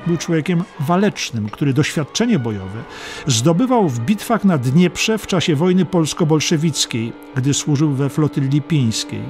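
A man reading a scripted historical audiobook as narrator, in a measured, dramatic storytelling manner. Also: on the high side, expressive, darkish tone. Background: music under the narration.

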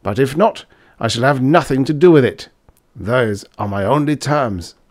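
A man reading a story aloud, voicing a half-drunk young male student who speaks loudly and urgently. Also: mid-range voice, lively intonation, moderate pace, voice pushed up, close to an everyday voice. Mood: solemn.

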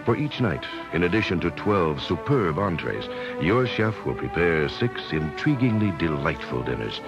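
A man playing a grand, mock-solemn narrator in an early 1980s radio commercial, pompous and deadpan. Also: low-pitched, moderate pace, over music.

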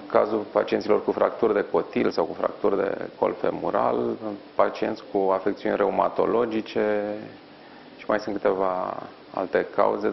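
A middle-aged man, an orthopedic surgeon, answering questions in a live TV interview from a hospital ward, measured and explanatory with frequent pauses. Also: low-pitched, vocal fry, muffled recording, a little hiss or hum. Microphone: lapel mic.

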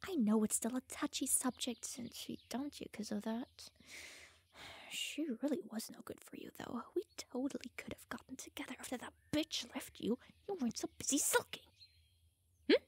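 A woman's voice: high voice, lively intonation, moderate pace, husky, in a whisper, full character voice. A young woman playing a teasing, smug, secretly lovestruck elf mage in an audio roleplay, playful and easily flustered. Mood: soft, wistful muttering. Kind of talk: audio roleplay addressed to the listener.

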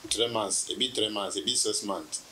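A Ghanaian man in his thirties, a business guest in a relaxed sit-down interview, telling his story with laughs and anecdotes. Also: lowish voice, moderate pace, a little hiss or hum. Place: indoor room, seated on sofa.

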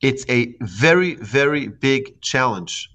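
A man in his forties, a rabbi giving a lively class with stories and acted-out quotes, speaking close into a studio mic in a small room. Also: mid-range voice, takes it slowly. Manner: lively storytelling with hand gestures and acted quotes. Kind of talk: animated Torah lecture. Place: indoor home studio before a plain wall. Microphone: large studio mic on boom arm, close.

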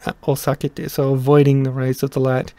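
A middle-aged man explaining his song translation in a casual, halting way, with many pauses and restarts. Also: medium pitch, completely flat delivery, mellow, no echo. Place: small room indoors.

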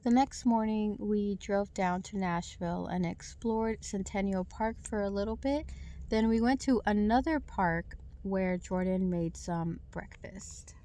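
Young woman vlogging from her truck at night, slow easygoing talk, phone close up, faint hum behind. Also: mid-range voice, mellow. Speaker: travel vlogger. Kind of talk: casual vlog narration. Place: inside a parked truck at night.

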